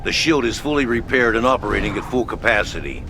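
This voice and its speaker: An actor playing a gruff, brisk headquarters officer giving curt, commanding orders in a video game. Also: male voice, mid-range voice, lively intonation, close to an everyday voice. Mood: curt, calm. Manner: curt, commanding, matter-of-fact. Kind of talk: video game mission control acting. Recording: loud music and engine noise behind.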